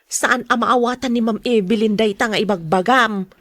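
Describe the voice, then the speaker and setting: A woman's voice: fairly high pitch, normal speed, a bit bright, no room sound, studio quality. A woman playing a plain-spoken wife in an Ilocano audio drama, with an Ilocano accent. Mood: wary.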